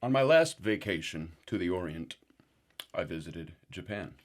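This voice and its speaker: An actor playing a rambling paranoid uncle who is a guest on a conspiracy show, with sudden excitable outbursts. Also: male voice, sits low, normal speed. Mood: rambling indignant. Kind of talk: deadpan parody talk show.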